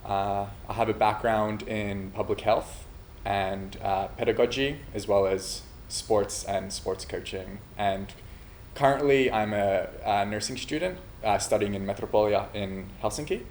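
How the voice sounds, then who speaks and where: A man from Finland who is also a nursing student, answering interview questions about his startup in a measured, thoughtful way, pausing often with "uh". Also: lowish voice, a little mellow, a little hiss or hum. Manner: measured, thoughtful delivery with frequent "uh" pauses. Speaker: entrepreneur pitching a startup idea.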